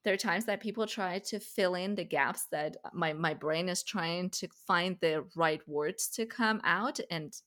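A woman's voice: on the low side, a little slow, hardly any pauses, a bit bright. A woman whose first language is Farsi, hosting a casual conversation and talking thoughtfully with light laughs. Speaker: podcast host.

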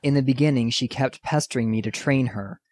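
A man playing a gruff, arrogant young master swordsman with an irritable, blunt manner in an anime-style drama. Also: mid-range voice, brisk, a little mellow, no room sound, clean studio sound, close to an everyday voice. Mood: matter-of-fact. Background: music.

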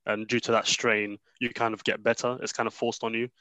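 A young man leading a casual discussion, speaking in a measured way and asking questions. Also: medium pitch, level tone, sharp tone, no echo. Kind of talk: casual chat.

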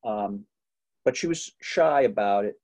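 A man's voice: on the low side, expressive, a little slow, darkish tone. Middle-aged man, musician guest, chatty storyteller with dry jokes and lots of restarts. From Massachusetts. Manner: chatty, joking, many fillers and restarts. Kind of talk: relaxed interview storytelling.

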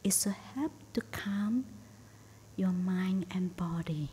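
Slow, hushed woman guiding meditation. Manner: hushed, breathy, near-whispered delivery. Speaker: meditation teacher. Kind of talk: guided meditation. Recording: faint rumbly background noise.

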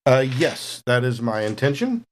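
A man's voice: medium pitch, very animated, moderate pace, a little hiss or hum. A man chatting casually as a player in a tabletop role-playing game, describing his moves.

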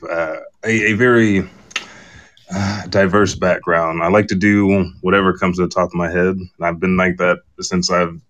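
A middle-aged man, a podcast guest, casually telling personal stories. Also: low voice.

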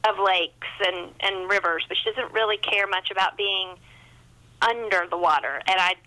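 A woman's voice: medium pitch, normal speed, bright, forceful tone, low-quality recording. A woman talking casually as an author guest in an interview, chatty and self-deprecating.

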